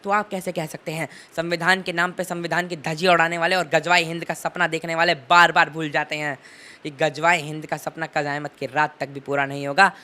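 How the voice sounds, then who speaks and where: Bright-voiced teenage boy, emphatic political speaker. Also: on the high side. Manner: emphatic, rhetorical, oratorical repetition. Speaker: interviewee, young political commentator. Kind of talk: impassioned political commentary in an interview.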